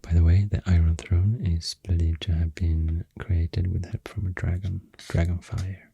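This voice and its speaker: A man with a Swedish accent speaking in a soft, breathy near-whisper, rambling in an unhurried, hesitant ASMR explanation. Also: sits low, flat delivery, dry recording. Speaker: ASMR creator. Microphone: close-up ASMR microphone.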